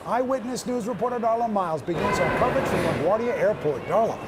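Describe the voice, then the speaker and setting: A man's voice: high-pitched, expressive, fast talker, heavy background noise. An American man anchoring a newscast with scripted narration. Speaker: news anchor.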